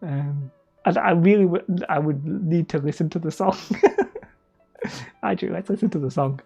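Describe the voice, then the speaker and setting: A man rambles casually through a livestream, joking at his own expense between thoughts as he works. His voice is soft and mellow with a husky edge. Faint music plays behind him. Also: normal speed, dull audio. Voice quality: calls his own voice high and whiny. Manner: rambling, self-deprecating, reads chat aloud. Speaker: hobby terrain-making streamer. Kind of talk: casual livestream chat while crafting.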